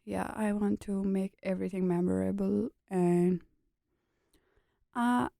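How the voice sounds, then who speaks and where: A woman's voice: medium pitch, steady tone, unhurried, vocal fry, dark tone, dry recording, studio quality. A young woman in her twenties from Pakistan with a Pakistani accent, whose first language is a regional tongue, answering interview questions earnestly in short plain sentences and laughing often. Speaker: graduate student guest. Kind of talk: casual interview chat.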